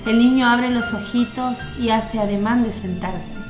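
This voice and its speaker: A woman giving measured, scripted narration for a radio drama. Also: fairly high pitch. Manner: measured, descriptive. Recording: echoey with music bed.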